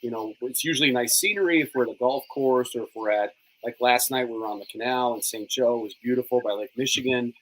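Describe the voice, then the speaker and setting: A man's voice: mid-range voice, quick pace, hardly any pauses, fairly bright tone, low-quality recording. Middle-aged man, Italian first language, easygoing chatty guest. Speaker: cigar company co-owner. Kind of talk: casual chat.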